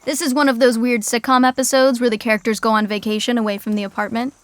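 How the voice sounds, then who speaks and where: A woman narrating a comedy podcast in a dry, deadpan way. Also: a little high, fast talker, no room sound.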